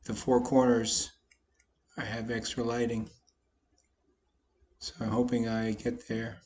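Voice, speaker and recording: A slightly hoarse man, a poker vlogger, casually talking through his online poker hands with a folksy, self-deprecating manner. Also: mid-range voice, level tone, slow pace, a little mellow. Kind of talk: casual commentary over online poker play.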